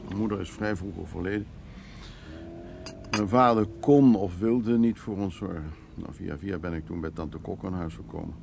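An actor playing a gruff, stubborn art restorer in his sixties in a radio play, talking in a casual, halting, naturalistic way. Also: deep voice, noisy background. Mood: reflective. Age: 60s. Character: sixty-year-old male art restorer, gruff, stubborn, reflective.

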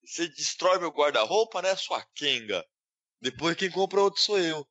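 A man's voice: a little high, animated, normal speed, pushed and bright, highs cut off. A man co-hosting a casual podcast, blunt and crude, swearing freely as he talks. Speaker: podcast co-host.

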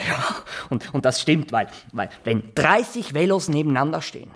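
An actor playing a nervous, boastful Swiss small-town barber in a radio drama, rambling, halting and always justifying himself. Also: male voice, a little high, animated. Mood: boastful, self-justifying. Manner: rambling, halting, repeats himself, keeps adding tag questions. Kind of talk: naturalistic radio drama acting.

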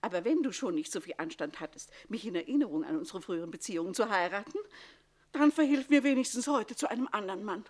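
An actress plays a scheming middle-aged governess in a radio comedy. Her voice swings widely in pitch as she delivers mock-polite barbs with biting emphasis. She is jealous, sharp-tongued and theatrical. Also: lively intonation, fast talker, natural voice. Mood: wry, coaxing.

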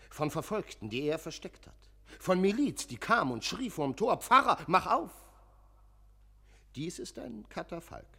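An actor playing an agitated church sexton in a German radio play, speaking in halting, abruptly emphatic fragments. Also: male voice, a little high, gravelly, a bit bright, full character voice. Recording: long echoing church-like reverb.

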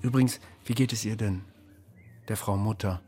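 Smugly jovial, menacing Nazi official. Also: male voice, on the low side, steady tone, normal speed, warm tone, breathy edge. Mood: veiled menace. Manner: oily, falsely courteous, insinuating. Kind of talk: radio drama acting.

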